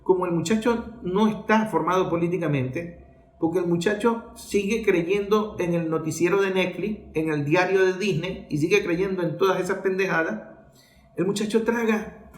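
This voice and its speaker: A man's voice: high voice, normal speed. A man with a Venezuelan accent giving impassioned, informal political commentary in an emphatic, didactic way, often asking questions and answering them himself. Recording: roomy, echoing sound.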